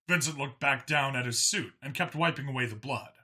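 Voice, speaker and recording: A man's voice: medium pitch, a little fast, pushed and bright. A man narrating a scripted science fiction story as an audiobook reader.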